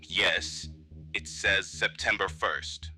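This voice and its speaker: A man playing a pragmatic, harried computer technician in a horror film, explaining things in a measured, slightly defensive way. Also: low voice, pushed and bright, faint music behind, heavily acted. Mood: puzzled, matter-of-fact. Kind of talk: found-footage horror film acting.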